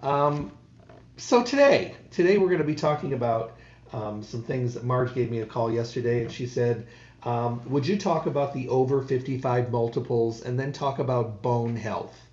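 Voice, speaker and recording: A man's voice: mid-range voice, normal speed, a little mellow, reverberant, highs cut off. A man hosting a radio talk show, folksy and rambling with many restarts. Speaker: radio host. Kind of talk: casual radio chat.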